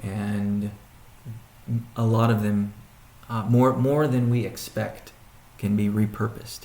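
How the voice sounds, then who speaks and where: A man speaking as a chief executive on a conference call, answering questions in a halting, deliberate way with pauses and restatements, in a roomy recording. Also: fairly low pitch, little pitch movement, mellow. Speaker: company CEO hosting a weekly conference call. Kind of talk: question-and-answer business briefing. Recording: roomy, echoing sound.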